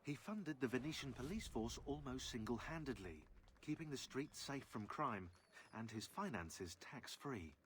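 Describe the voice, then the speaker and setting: A man's voice: medium pitch, big pitch swings, normal speed, fairly bright tone, slight background noise. A man playing a sardonic narrator, reading a scripted character profile in a video game with dry wit. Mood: wry. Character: wry, sardonic male briefing narrator.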